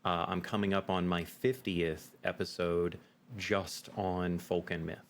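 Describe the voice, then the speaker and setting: Very deep-voiced man explaining emphatically. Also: steady tone, unhurried, a little hiss or hum. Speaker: game master guest. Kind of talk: interview conversation.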